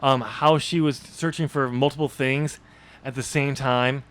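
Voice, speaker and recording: A man co-hosting a podcast in casual unscripted chat, talking haltingly and often correcting himself. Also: medium pitch, fairly even intonation, fairly bright tone, clear, resonant tone. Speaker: podcast co-host, author discussing own novel draft.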